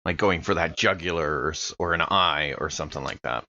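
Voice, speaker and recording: Low-voiced man, muffled lo-fi sound. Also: a little fast, bright, forceful tone, slight background noise. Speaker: player in a tabletop game. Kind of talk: casual game-table talk.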